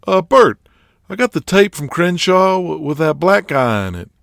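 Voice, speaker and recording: A man's voice: on the high side, big pitch swings, moderate pace, gravelly, fairly bright tone, no room sound, clean studio sound, voice pushed up, heavily acted. A man voicing a timid, eager male assistant at a wrestling promotion, speaking hesitantly with stammers. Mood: nervous, hesitant.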